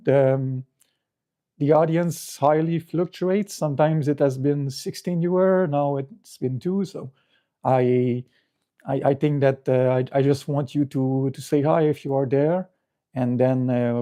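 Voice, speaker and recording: A middle-aged man with a French Canadian accent talks casually to his livestream viewers. His voice is mellow and relaxed, at an average male pitch. He speaks English as a second language after French, often pausing and repeating words as he searches for the right one. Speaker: livestream host. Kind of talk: casual unscripted livestream chat.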